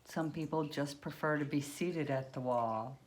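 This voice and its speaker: A woman leading a guided yoga nidra meditation, speaking unhurriedly in short phrases with long pauses between them. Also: deep voice, slight background noise.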